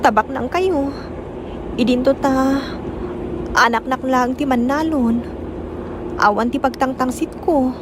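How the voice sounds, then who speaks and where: A woman's voice: sits high, slow pace, long pauses, noisy background. An actress with an Ilocano accent playing an anxious, insistent young student woman in a radio drama, with a plaintive manner. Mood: upset, plaintive.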